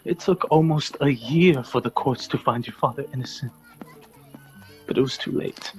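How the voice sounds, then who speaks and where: An actor playing a grave, honorable lieutenant in a radio drama, speaking in a solemn, measured storytelling way. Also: male voice, medium pitch, soft background music, lightly acted, poor audio.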